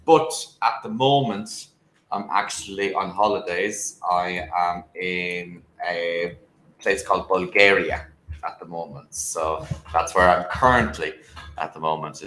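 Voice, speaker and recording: A man's voice: deep voice, measured pace, pushed and bright, reverberant, a little hiss or hum, low-quality recording. An Irish man tutoring English over a video call, talking casually and hesitantly with lots of fillers and restarts. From Ireland.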